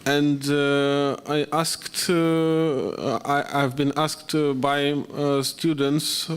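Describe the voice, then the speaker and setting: Russian man, slow careful delivery, smooth voice, hesitant, conference audience. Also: fairly high pitch, flat delivery. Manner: halting, many fillers. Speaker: university lecturer.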